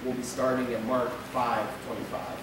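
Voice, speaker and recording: A man's voice: mid-range voice, little pitch movement, normal speed, far from the mic, noisy background. Young man preaching in an echoey church. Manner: reads steadily from a script at the pulpit, with occasional stumbles and filler. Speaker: lay preacher. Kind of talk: sermon read from notes. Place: small church sanctuary. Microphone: pulpit mic, camera at the back. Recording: echoey church hall recorded from afar.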